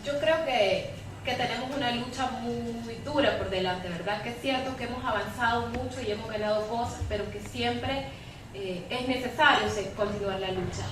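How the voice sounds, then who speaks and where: A woman's voice: on the high side, normal speed. A woman giving spontaneous, explanatory panel commentary, often closing phrases with a tag question. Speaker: panelist from a women's rights organization. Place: echoey room at a public debate. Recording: very echoey room, distant mic, faint noise.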